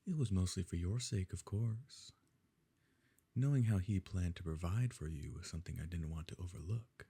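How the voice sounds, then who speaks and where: Man acting a low, gravelly, smug evil nobleman. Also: normal speed, mellow, exaggerated voice. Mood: sly, self-satisfied. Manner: suave, condescending, leisurely formal phrasing. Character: smug, wealthy evil nobleman, condescending and calculating. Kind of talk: intimate ASMR roleplay drama.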